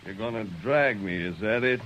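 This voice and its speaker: An actor playing a big, brutish outlaw who makes slow, low, sneering threats. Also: male voice, muffled recording, natural voice. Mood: menacing. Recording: hissy old radio broadcast.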